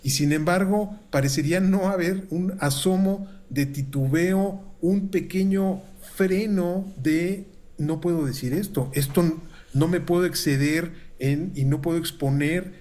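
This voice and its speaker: A middle-aged Mexican man, a university researcher, giving measured, formal analysis from a room at home over a video call, with frequent filler pauses. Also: on the high side, darkish tone. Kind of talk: analytical commentary. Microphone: video call webcam.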